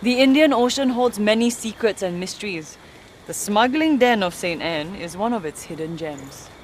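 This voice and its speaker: A woman's voice: medium pitch, animated, normal speed, lightly acted. An actress playing a sly, spirited pirate first mate in a video game, teasing and theatrical, with some noise behind her. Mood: sly, inviting. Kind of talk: video game dialogue acting.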